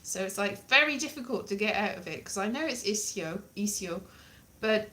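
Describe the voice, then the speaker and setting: A woman, perhaps in her late thirties, chatting casually to camera in an animated, rambling, dryly jokey way. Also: medium pitch, quick pace. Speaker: vlogger, language learner. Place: small plain room indoors.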